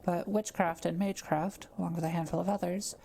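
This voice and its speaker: A kind forest witch, played by a woman, speaks in a slightly low voice with plenty of vocal fry. She is gentle and patient, explaining like a scholar in an intimate audio roleplay. Faint background noise sits behind her. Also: fairly even intonation, fairly quick. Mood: patient, explanatory.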